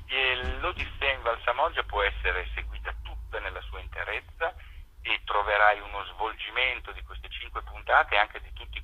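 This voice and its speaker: A man gives an explanatory interview down a telephone line. His voice is fairly low and very bright, with a forceful, tinny edge. He pauses now and then to find the right word. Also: slight background noise. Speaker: theatre maker interviewed as guest. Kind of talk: radio interview, explanatory talk.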